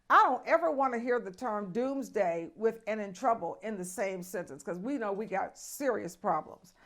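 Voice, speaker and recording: A woman news host with a slightly high voice runs through the headlines. She keeps breaking in with lively, emphatic asides and calls her viewers folks, giving the read a chatty, conversational feel. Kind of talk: news commentary.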